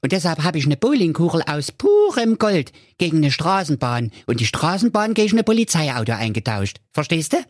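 A man voicing a naive, cheerful simpleton, speaking high and eagerly. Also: big pitch swings, fairly quick, hardly any pauses, no echo, studio quality. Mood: friendly. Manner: high, eager and good-natured.

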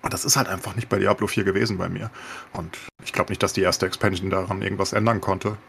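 Fast, husky low-voiced man. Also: flat delivery, a bit bright. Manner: mixes English gaming slang into German. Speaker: podcast co-host. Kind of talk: casual opinionated gaming chat.

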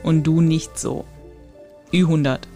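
A woman's voice: sits low, fairly even intonation, slow, dark tone, soft background music. A woman hosting a casual podcast, reading out names as a quiz and giving short, matter-of-fact answers. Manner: matter-of-fact, terse confirmations. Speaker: podcast host, quizmaster. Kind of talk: casual chat, reading out a name list.

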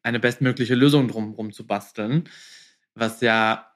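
A man's voice: medium pitch, steady tone, a little slow, fairly bright tone. A man hosting a podcast, interviewing in a casual, colloquial way with English phrases mixed in. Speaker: podcast host.

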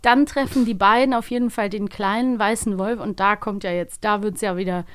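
A woman's voice: medium pitch, fairly even intonation, brisk, clean tone, no room sound. A woman co-hosting a podcast, chatting casually as she reviews audio plays. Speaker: podcast co-host.

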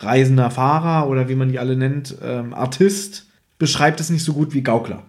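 A man's voice: medium pitch, fairly even intonation, normal speed, darkish tone. A man chatting casually as a podcast host, retelling and critiquing an audio drama in an informal, opinionated, teasing way.

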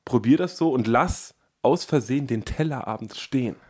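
A man's voice: medium pitch, animated, normal speed, darkish tone, slightly rough. A man chatting casually as a podcast host, laying out absurd plans in earnest detail. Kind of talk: casual comedic chat.

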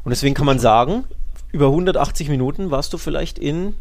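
A man's voice: on the high side, fast talker, a little mellow. A man co-hosting a football podcast, explaining matches casually and restating points for emphasis.

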